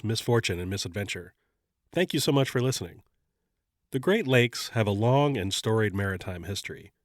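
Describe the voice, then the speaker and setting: A man hosting a podcast, sounding slightly nasal and congested while getting over an illness, narrating a scripted story in a measured way. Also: fairly low pitch, no room sound, studio quality. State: congested, recovering from covid. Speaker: podcast host.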